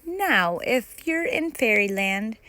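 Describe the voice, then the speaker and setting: Woman, storytime narrator, higher-pitched voice, very unhurried pace, dry sound, children's read-aloud. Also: very animated, bright, forceful tone, no room sound. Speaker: storytime host reading a picture book.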